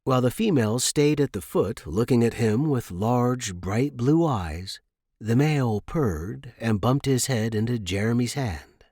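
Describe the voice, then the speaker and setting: A man's voice: mid-range voice, expressive, measured pace, darkish tone, no room sound, clean studio sound. A man reading a short story aloud as a scripted narration.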